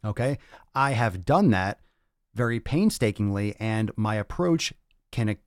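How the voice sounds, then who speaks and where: A man's voice: lowish voice, animated, unhurried, dry recording, studio quality. A man speaking as a fitness coach and podcast host in a blunt, emphatic, profane rant, often repeating phrases to drive points home. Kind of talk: motivational rant to livestream audience.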